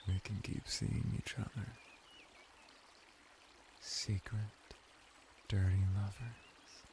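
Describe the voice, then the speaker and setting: Man playing a slow, low-voiced seductive merman. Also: little pitch movement, background noise, full character voice. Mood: coaxing, tender. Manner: slow, teasing, breathy murmur with dramatic pauses. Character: flirty seductive merman, servile and sultry, devoted to his master. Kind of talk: ASMR roleplay, intimate scripted monologue.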